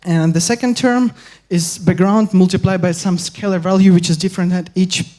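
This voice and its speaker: A young man from Ukraine giving an academic conference talk, halting with frequent pauses and restarts. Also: high-pitched, fairly even intonation, a little mellow. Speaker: conference speaker.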